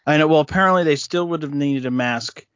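A woman's voice: low-pitched, quick pace, no echo, dull audio. A woman co-hosting a casual podcast, hesitant, often searching for words. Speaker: podcast co-host. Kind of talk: casual chat.

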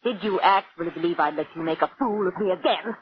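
An actress playing a cool, scheming wife in a 1940s radio drama. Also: female voice, fairly low pitch, fast talker, natural voice. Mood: cool, taunting. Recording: muffled old radio broadcast, hiss.

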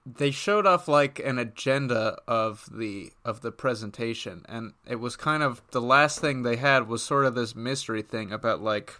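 A man co-hosting a podcast in casual banter, speaking in a halting, conversational way. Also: mid-range voice, bright, forceful tone. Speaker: podcast co-host.

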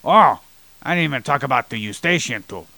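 A man's voice: medium pitch, lively intonation, a little fast, gravelly, sharp tone. A man co-hosting a casual anatomy podcast, teasing and playfully combative with his co-host. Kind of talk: casual chat about anatomy.

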